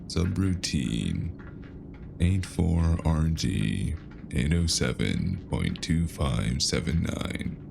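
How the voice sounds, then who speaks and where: Very deep, gravelly noir actor as weary man. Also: big pitch swings, extremely slow, noisy background, heavily acted. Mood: dry, weary. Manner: brooding, clipped dramatic phrasing. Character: grim, weary cyberpunk noir man narrating his own journey. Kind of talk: scripted first-person noir narration. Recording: loud music under the voice. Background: music.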